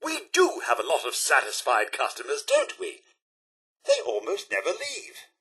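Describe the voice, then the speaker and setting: A man's voice: medium pitch, huge pitch swings, normal speed, sharp tone, slightly rough, exaggerated voice. A man voicing a prim, polite robot butler in a video game, with a British accent and a formal, theatrical, dryly sarcastic manner. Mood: courteous, attentive. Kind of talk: video game character voice lines.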